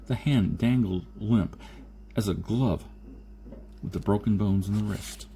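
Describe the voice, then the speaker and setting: A man narrates a science fiction tale in a relaxed, dark-toned voice that sits a little low. He reads from a script as an audiobook narrator. There is some faint noise behind him. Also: a little slow. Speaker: audiobook reader. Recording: noticeable background noise.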